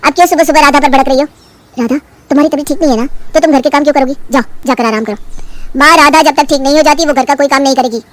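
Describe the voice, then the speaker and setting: A woman's voice: sits high, very fast, fairly bright tone, voice pushed down. A woman voicing a firm young husband in a cartoon story, lecturing and scolding. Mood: stern.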